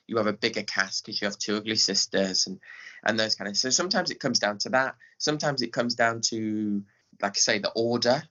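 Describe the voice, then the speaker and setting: Bright, chatty English man, lower-pitched. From Skegness, England. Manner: chatty, informal phrasing. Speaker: podcast guest, theatre director. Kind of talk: casual explanatory chat. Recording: dull, lo-fi audio.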